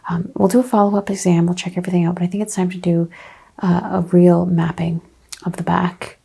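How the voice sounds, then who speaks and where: A soft-spoken woman playing a chiropractor in an ASMR roleplay, hushed and often drifting into a whisper. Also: on the low side, little pitch movement, fairly quick, vocal fry, breathy edge. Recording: faint background noise.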